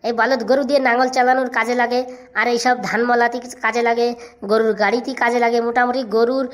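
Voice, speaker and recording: A woman plays a cheeky cartoon schoolboy with a highish voice. He rattles things off at great speed, like a recited lesson, sure of himself and bossy with it. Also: little pitch movement, fast talker, natural voice. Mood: eager reciting. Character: cheeky know-it-all village schoolboy Hagu, bossy and boastful. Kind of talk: comic cartoon dialogue.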